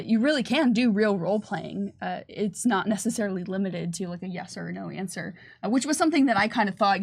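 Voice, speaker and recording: A woman with a middle-pitched voice speaks casually on a livestream. She explains things as a developer taking questions, working out her answers as she talks. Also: breathy edge. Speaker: game developer presenting on a company livestream.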